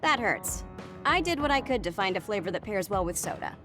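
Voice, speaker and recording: A nonchalant young woman in an anime-style game. She is wry and unbothered, with a medium-pitched voice and varied intonation over faint music. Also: sing-song, a little fast, sharp tone. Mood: dry, unbothered.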